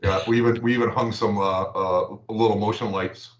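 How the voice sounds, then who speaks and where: A low-voiced man talks in halting bursts, repeating words before he finds his point, then reads out numbers steadily. He sounds like he is in an echoey room. The audio is dull and lo-fi. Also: fairly even intonation. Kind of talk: casual livestream chat.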